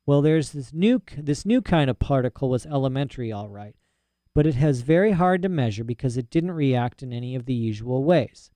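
A man reading a story aloud and voicing a stern, detached nobleman who speaks quietly and evenly. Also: mid-range voice, animated, brisk, no echo, lightly acted. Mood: calm cold. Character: cold, calm, stern aristocratic father.

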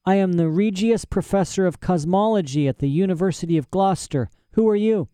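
A man doing a shrill, cranky old mad professor. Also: a little fast, dark tone, smooth, no echo, studio quality, lightly acted. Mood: wary, querulous. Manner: agitated, querulous, pompous, punctuating talk with "eh". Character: mad, cranky, boastful old professor chained in a prison cell.

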